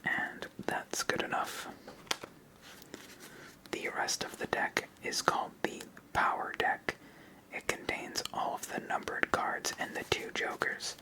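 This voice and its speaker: A person of unclear sex giving a whispered ASMR tutorial as host, explaining step by step in a soft, unhurried manner. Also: rough recording. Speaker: host of a card game channel.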